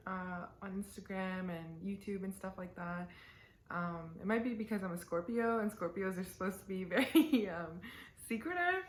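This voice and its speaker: Chatty young woman vlogging in an echoey room. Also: medium pitch. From born in the UAE, raised in Canada. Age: 20s. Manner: chatty, giggly, lots of fillers. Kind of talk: casual Q&A talking to camera. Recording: roomy echo.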